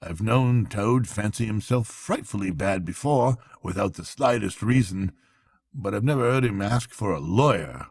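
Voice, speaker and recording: A man voicing a hearty, brisk storybook rat who is kindly, blunt and earnest. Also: fairly low pitch, animated, darkish tone, breathy edge. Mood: anxious, reflective. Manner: brisk, exclamatory, blunt but fond.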